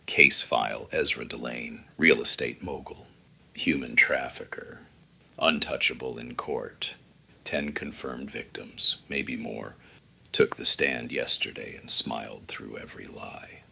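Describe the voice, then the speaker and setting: A man playing a brooding, menacing noir vigilante in a horror audio drama, terse and gravelly. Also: rumbling low, unhurried, bright, forceful tone, exaggerated voice. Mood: cold, grim. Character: brooding male noir vigilante, cold deliverer of judgment. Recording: clear background noise.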